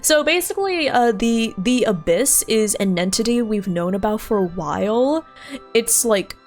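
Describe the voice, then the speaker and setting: A young woman chatting casually with her stream viewers while playing a game, laughing often and thinking out loud. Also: a little high, lively intonation, moderate pace. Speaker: livestreamer. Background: faint game music.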